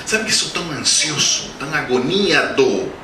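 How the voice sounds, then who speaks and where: A man's voice: a little high, big pitch swings, normal speed, pushed and bright. A man in his forties, a native Spanish speaker with a Spanish-speaking accent, giving a humorous spiritist lecture full of anecdotes and questions to the audience. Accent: Spanish-speaking accent in Portuguese. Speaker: guest speaker at a spiritist center. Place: lecture hall. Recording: roomy hall, distant mic, background noise.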